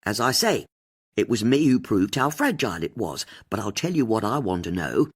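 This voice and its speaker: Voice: mid-range voice, big pitch swings, moderate pace, slightly rough. An audiobook narrator voicing a blunt, indignant woman amateur detective, forthright and a little boastful. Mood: proud, defensive. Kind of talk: audiobook character dialogue.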